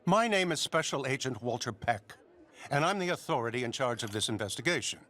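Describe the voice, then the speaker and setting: A man plays a pompous male government agent for a video game. His delivery swings widely in pitch around a middle register. He sounds officious and condescending, a hostile skeptic who talks down to everyone. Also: animated, brisk, fairly bright tone, close to an everyday voice. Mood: smug, authoritative. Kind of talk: acted video game dialogue.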